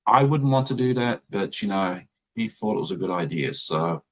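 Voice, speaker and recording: A man's voice: lowish voice, lively intonation, moderate pace, a bit bright. A man with an Australian accent hosting a casual chat while he sews. He tells rambling stories with plenty of ums. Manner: chatty, rambling storyteller with many ums. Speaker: host.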